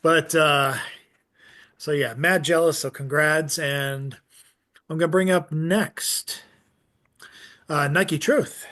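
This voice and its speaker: Higher-voiced man hosting open mic. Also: steady tone, takes it slowly, long pauses, bright, forceful tone. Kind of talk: casual hosting chat. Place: online video gathering.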